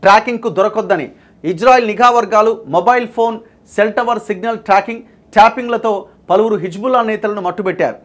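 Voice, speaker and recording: A man's voice: sits high, fast talker, fairly bright tone. A man with a Telangana accent reading news and explaining it emphatically, putting rhetorical questions to viewers. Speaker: news presenter.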